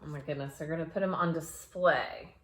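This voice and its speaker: A woman's voice: deep voice, fairly even intonation, fairly quick, fairly bright tone. A woman reading a children's story aloud dramatically, with chatty asides to her young listeners. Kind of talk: animated read-aloud storytelling.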